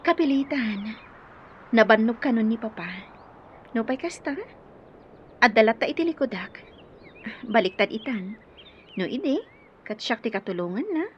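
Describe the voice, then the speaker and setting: A woman playing a curious, lively young daughter in a radio drama, with a Filipino accent. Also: on the high side, moderate pace, pauses often, lightly acted. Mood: curious, puzzled. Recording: dull, faintly noisy radio recording.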